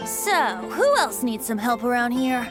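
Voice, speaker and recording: High, boyish, playful bard. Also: female voice, sing-song, unhurried. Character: cheerful, mischievous boyish male bard. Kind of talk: video game character acting. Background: loud background music.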